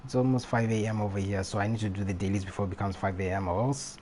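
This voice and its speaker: A man's voice: lowish voice, steady tone, quick pace, slight background noise. A man livestreaming a video game, chatting casually with viewers in an offhand, half-muttering way. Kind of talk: casual chat with viewers while playing. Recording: stream voice with loud game music. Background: video game music.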